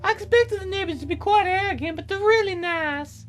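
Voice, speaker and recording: A woman's voice: very high-pitched, a little fast, faint music behind, pitched above the performer's own voice. A woman voicing a grieving, haughty widowed novelist in a visual novel, with a dramatic, proper manner. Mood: composed, gracious.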